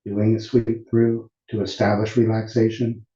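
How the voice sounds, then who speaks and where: A man with a low, very mellow and dark voice gives meditation instruction. He speaks calmly and deliberately, leaving long pauses. The sound is muffled, with a clear roomy echo. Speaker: meditation teacher. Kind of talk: guided meditation instruction at a retreat.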